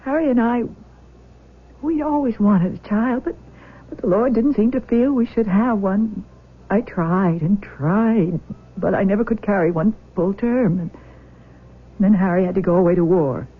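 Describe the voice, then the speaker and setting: An actress playing an anguished, tender older adoptive mother in a 1970s radio drama, halting and repeating her words. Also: mid-range voice, breathy edge. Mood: sorrowful confessing. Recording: dull lo-fi old radio broadcast.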